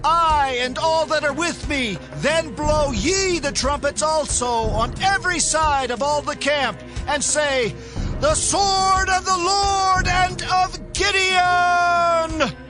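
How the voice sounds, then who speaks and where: An actor playing a bold Israelite war leader in a dramatized Bible reading, speaking in a declamatory, emphatic way. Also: male voice, extremely high pitch, slow pace, over music. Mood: battle cry. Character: Gideon, adult male Israelite war leader, commanding and bold.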